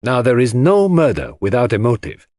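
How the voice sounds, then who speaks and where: A man's voice: mid-range voice, big pitch swings, moderate pace, a little mellow, no room sound, clean studio sound, pitched above the performer's own voice, close to an everyday voice. A man voicing a shrewd, secretive and irritable detective in an audiobook, speaking in a precise, lecturing way. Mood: confident, reasoning. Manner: precise, emphatic, didactic.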